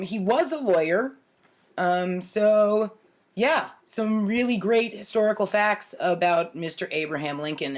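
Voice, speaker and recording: A woman in her forties talking casually and playfully in a storytime vlog, with a wry, mock-earnest manner. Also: mid-range voice. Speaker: host of a storytime series. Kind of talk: casual comic storytime vlog, commenting on own childhood writings. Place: home kitchen, indoors.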